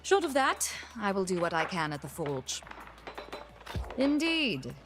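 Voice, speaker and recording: A woman playing a stately, earnest female blacksmith in a video game, speaking in a formal, measured manner. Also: mid-range voice, sing-song, music in the background. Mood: earnest, concerned. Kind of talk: video game character acting.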